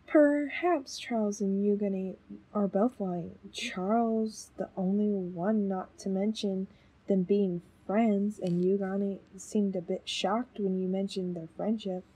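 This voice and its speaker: A woman's voice: medium pitch, steady tone, takes it slowly, warm tone, husky, low-quality recording. A woman playing a thoughtful, inquisitive investigator's companion in an audio drama, with a musing, questioning manner. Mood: curious, musing. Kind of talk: fan audio drama acting.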